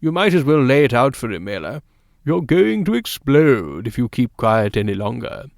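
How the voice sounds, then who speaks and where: A man's voice: mid-range voice, big pitch swings, a little fast, vocal fry, dry recording. A man voicing a polite male doctor with a dry, amused way of speaking.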